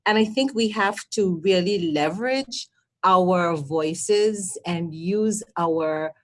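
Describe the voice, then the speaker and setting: A woman's voice: lowish voice, takes it slowly. A woman from Trinidad and Tobago with a Trinidadian accent, speaking earnestly as a healthcare advocate on a panel and often stumbling over repeated words. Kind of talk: passionate testimony on a panel.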